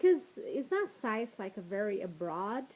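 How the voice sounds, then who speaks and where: A woman interviewing a teenager, speaking haltingly and repeating words, with non-native phrasing. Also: medium pitch, big pitch swings, a little mellow. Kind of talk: casual interview questions.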